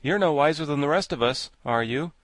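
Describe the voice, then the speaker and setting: A man's voice: a little high, a little fast, no room sound, studio quality, voice pushed up, close to an everyday voice. A man voicing a good-natured, wry and talkative living scarecrow in a storybook, speaking with genial emphasis. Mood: wry, reasoning. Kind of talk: storybook character voice.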